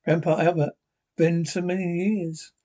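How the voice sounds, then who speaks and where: Man reading as an excitable, husky young lieutenant. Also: a little high, measured pace. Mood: overwhelmed. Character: excitable, sentimental young male space lieutenant.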